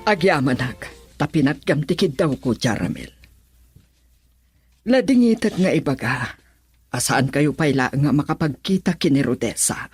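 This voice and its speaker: A wronged, pleading mother from a radio drama. Her Filipino-accented voice is husky and moves with strong swings of pitch, earnest and emotional, recorded dry. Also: expressive, moderate pace, long pauses, darkish tone, dry recording, exaggerated voice. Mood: grave warning.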